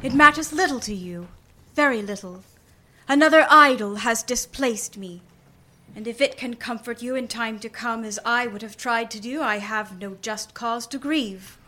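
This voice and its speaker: A woman plays a wounded yet resolute young fiancée in a radio play. Her voice is fairly high, and she speaks with earnest, measured sorrow. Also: pushed and bright. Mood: hurt reproach. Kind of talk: live community radio drama acting.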